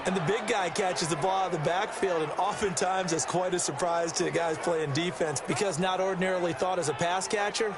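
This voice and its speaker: Video game football color analyst: high voice, emphatic explainer, loud stadium crowd underneath. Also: male voice, fairly even intonation, fairly quick, few pauses. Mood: engaged. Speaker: color commentator. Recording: noisy stadium crowd behind the commentary.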